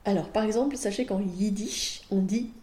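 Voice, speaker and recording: A woman's voice: mid-range voice, moderate pace, dark tone, roomy sound. A woman from France with a French accent, hosting a podcast as a midwife and talking in a monologue.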